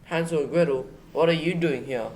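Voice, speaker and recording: A man's voice: fairly high pitch, a little fast, a little mellow, close to an everyday voice, poor audio. A male performer playing a keen, helpful boy hero in an amateur school radio play. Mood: curious. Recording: faint noise, birdsong behind.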